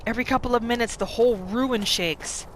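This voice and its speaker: A woman giving casual, chatty roleplay commentary as the host of a gaming channel, narrating in an expressive way. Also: a little high, brisk, a little hiss or hum, low-quality recording. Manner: expressive, chatty, narrating characters' thoughts in third person.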